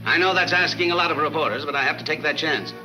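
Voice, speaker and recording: An actor playing a firm, businesslike police chief in a 1940s movie serial. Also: male voice, on the high side, fast, natural voice, low-quality recording. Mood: firm, persuasive. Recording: old film soundtrack with music.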